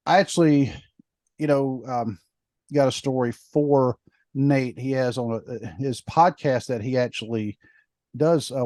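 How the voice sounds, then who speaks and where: A man's voice: medium pitch, darkish tone, dry recording. A man co-hosting a podcast, chatting in a rambling way with lots of fillers. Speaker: podcast co-host. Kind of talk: casual podcast chat.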